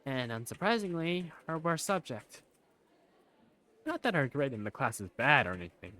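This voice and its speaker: A man, an amateur voice actor, narrating a visual novel fandub in the first person in an animated way. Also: medium pitch, normal speed.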